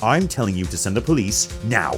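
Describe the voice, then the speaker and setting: A man's voice: lowish voice, very animated, fairly quick, faint music behind. A man voicing a pompous, manipulative businessman villain in a video game dub, with a theatrical, wordy delivery. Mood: haughty, threatening.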